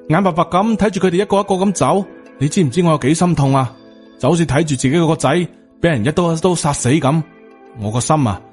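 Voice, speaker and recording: A man's voice: a little high, darkish tone, pitched above the performer's own voice, close to an everyday voice. A man voicing a brooding undead Taoist master. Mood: anguished. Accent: Hong Kong Cantonese. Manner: slow, emphatic, stern. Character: grim Taoist master turned undead, short-tempered, brooding, protective.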